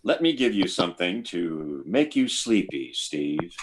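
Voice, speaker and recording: Male actor as placating doctor, sci-fi radio drama: unhurried, stammering, lively intonation. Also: deep voice, husky, heavily acted. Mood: soothing. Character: cautious, soothing male doctor at a test base. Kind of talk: live performance of 1950s sci-fi radio drama.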